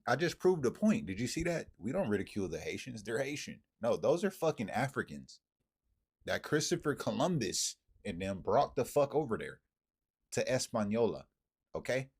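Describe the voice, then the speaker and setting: A man's voice: mid-range voice, sing-song, moderate pace, slightly rough. A man delivering a heated, profane monologue, firing off rhetorical questions at his viewers. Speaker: commentator.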